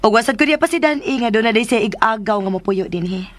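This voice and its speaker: An actress playing a jealous young expectant mother in a radio drama, measured and wounded. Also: on the high side, expressive, natural voice. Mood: hurt, guarded. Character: jealous pregnant young woman, hurt but restrained.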